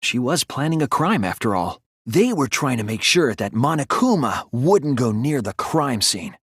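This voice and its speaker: A man voicing an earnest young male student detective in a video game, explaining his deductions in a deliberate, thoughtful way. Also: mid-range voice, sing-song, fairly bright tone, breathy edge, no echo, studio quality, full character voice. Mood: measured reasoning. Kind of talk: scripted video game voice acting, deduction speeches.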